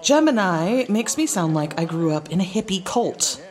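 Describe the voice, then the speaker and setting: A grown daughter in an audio drama, young and earnest. Her intonation is lively and conversational, caring with a thread of exasperation. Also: lowish voice, normal speed. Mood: exasperated. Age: young adult. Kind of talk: naturalistic audio drama dialogue.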